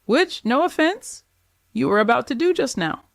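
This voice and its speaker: A man's voice: extremely high pitch, big pitch swings, moderate pace, creaky, a bit bright, no echo, pitched above the performer's own voice, heavily acted. Man putting on a motherly, blunt older woman. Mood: motherly, firm. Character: warm, blunt older church women, motherly and scolding.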